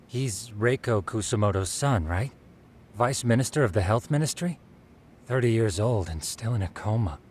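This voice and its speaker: A man's voice: on the low side, lively intonation, normal speed, darkish tone, a little hiss or hum, lightly acted. A man playing an inquisitive, analytical investigator who explains things articulately in an English game dub. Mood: analytical, curious. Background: faint background music.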